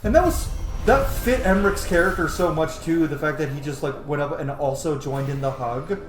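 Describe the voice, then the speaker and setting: A man's voice: on the high side, moderate pace, reverberant, over music. A man giving casual, sweary, effusive let's play commentary and reading game text aloud. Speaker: gamer, roleplay streamer.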